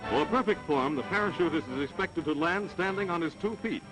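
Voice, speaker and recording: A man's voice: fairly high pitch, lively intonation, a little fast, rough recording. A man from the United States giving emphatic, stirring scripted narration for a vintage newsreel. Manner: emphatic, stirring announcer delivery. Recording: vintage newsreel soundtrack over loud music. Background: loud music.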